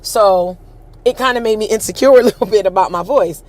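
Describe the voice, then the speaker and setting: A woman with a deep, husky voice hosts a casual storytelling tour outdoors, animated and acting out the voices of people she quotes. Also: fairly quick. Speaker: host.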